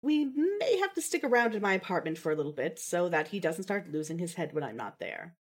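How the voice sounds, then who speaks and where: A woman's voice: fairly low pitch, big pitch swings, brisk, husky. A woman playing a wry, articulate, affectionate young woman in a fan fiction audio drama.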